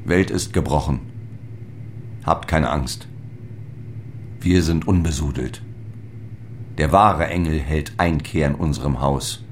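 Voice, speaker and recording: Very deep-voiced solemn apostle. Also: male voice, slow, pauses often, slight background noise. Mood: fervent. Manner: grave, incantatory, declamatory. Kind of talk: literary radio drama monologue.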